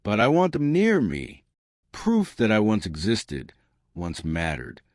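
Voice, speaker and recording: A man's voice: lowish voice, huge pitch swings, takes it slowly, no echo, clean studio sound, heavily acted. Man voicing a wistful faded film actress. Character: Vivian, wistful faded film actress, clinging to her past.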